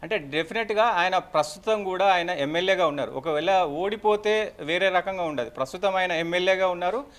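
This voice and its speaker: A man with a Telangana Telugu accent giving an unscripted live news update as a reporter, in halting, repetitive phrases. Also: high-pitched, fairly bright tone.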